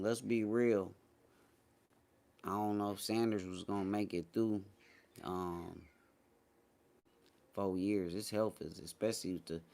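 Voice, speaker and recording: Casting: American male livestream host, lowish voice, casual chat delivery, blunt and dismissive. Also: a little mellow. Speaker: host of a morning talk show.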